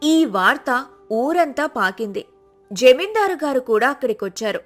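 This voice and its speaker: A woman's voice: sits high, lively intonation, unhurried, soft background music. A woman narrating a scripted moral story as a storyteller.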